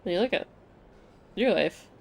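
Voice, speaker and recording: A woman's voice: fairly low pitch, animated, a little slow, gravelly, slight background noise. A woman streamer reacting casually to a game, with gushing, fangirlish asides.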